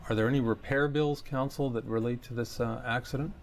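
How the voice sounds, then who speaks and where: A man's voice: mid-range voice, steady tone, a little fast, close to an everyday voice. A man playing an opposing lawyer, putting formal, methodical questions to a witness in a matter-of-fact way. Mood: businesslike. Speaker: examining lawyer in a dramatized discovery. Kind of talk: formal legal questioning, dramatized.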